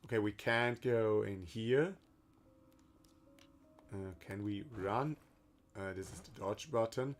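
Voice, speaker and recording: A hoarse man casually commenting while playing a game on stream, rambling loosely and swearing now and then. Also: lowish voice. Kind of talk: casual let's-play commentary.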